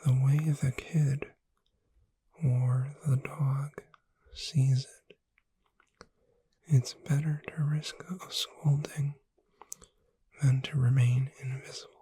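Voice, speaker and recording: A woman whispering in a soothing, deliberate ASMR style, reading aloud to lull a listener to sleep. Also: rumbling low, level tone, mellow, breathy edge, dry recording. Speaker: ASMR performer.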